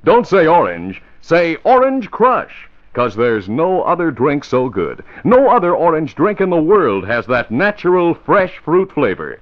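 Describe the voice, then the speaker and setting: A man's voice: fairly high pitch, huge pitch swings, unhurried, hardly any pauses. A man announcing and narrating in 1940s radio style, with emphatic, dramatic delivery. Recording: dull vintage radio recording, faint music.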